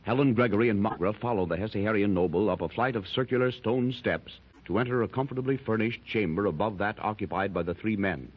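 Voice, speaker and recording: A man's voice: on the low side, fast, hardly any pauses. A man narrating an adventure radio serial in a declamatory, dramatic style. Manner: declamatory, rising with the action. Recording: hissy muffled 1930s radio transcription.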